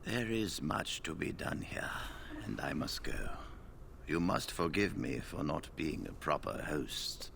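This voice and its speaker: An actor playing an elderly male wizard leader, grave and weary, speaking in a measured, solemn way. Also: extremely low pitch, lively intonation, creaky, fairly bright tone, a little hiss or hum, exaggerated voice. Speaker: first enchanter.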